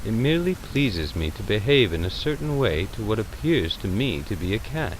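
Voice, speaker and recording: Audiobook role: philosophical male hermit acted by a male reader, low-pitched, quietly polite and detached, with lilting variation. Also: very animated, normal speed, noisy background. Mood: mild. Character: mild, detached, philosophical male recluse, gently bemused. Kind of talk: audiobook character voice.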